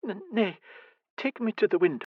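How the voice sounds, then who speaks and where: A woman's voice: medium pitch, lively intonation, moderate pace, husky, dry recording, lo-fi sound, pitched above the performer's own voice. Man putting on a timid, stammering old noblewoman. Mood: worried. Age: older. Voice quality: stammering, soft and hesitant. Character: timid elderly blind noblewoman, fretful mother.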